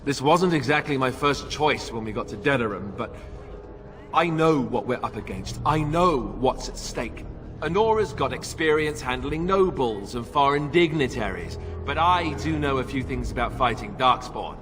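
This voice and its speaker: An actor playing a reluctant young warrior newly made king in a video game, halting, earnest and self-deprecating. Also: medium pitch, lively intonation, music in the background, natural voice. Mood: uneasy, hesitant. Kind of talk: video game cutscene acting.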